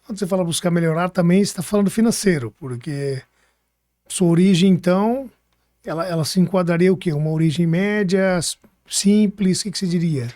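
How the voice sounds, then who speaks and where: A man's voice: sits high, brisk, dark tone, no room sound, clean studio sound. A man from hosting a podcast, chatting casually, rephrasing his questions and often seeking agreement. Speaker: podcast host. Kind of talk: casual conversational interviewing.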